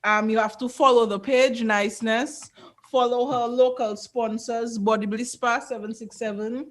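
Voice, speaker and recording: A woman with a Caribbean English accent co-hosting a casual podcast, talking in a playful, slangy way and giving shout-outs. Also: on the high side, steady tone, moderate pace. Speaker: podcast co-host.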